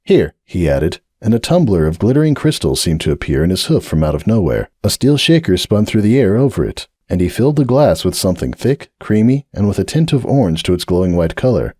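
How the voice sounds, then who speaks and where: Expressive man narrating a story. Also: fairly low pitch, a little fast, hardly any pauses, slightly rough, no echo, clean studio sound. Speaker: narrator of an audiobook reading. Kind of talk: dramatic audiobook narration.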